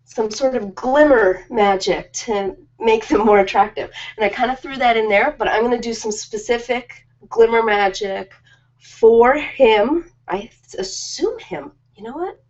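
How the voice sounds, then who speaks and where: A woman's voice: fairly high pitch, measured pace, a little mellow. Casual rambling woman, slightly dull lo-fi audio. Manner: rambling, informal, self-deprecating. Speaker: live-stream host of ASMR and ritual videos. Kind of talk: casual ad-libbed live-stream chat.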